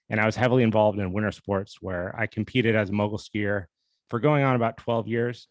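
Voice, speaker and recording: A man's voice: on the low side, no room sound. A young man hosting his own podcast, telling a personal story in a candid, self-deprecating, casual way. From USA. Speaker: podcast host.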